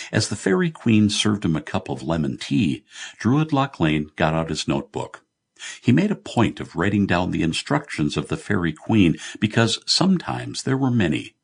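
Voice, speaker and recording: A man narrating comic fantasy fiction as an audiobook, telling the story in a measured way. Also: low voice, creaky, breathy edge.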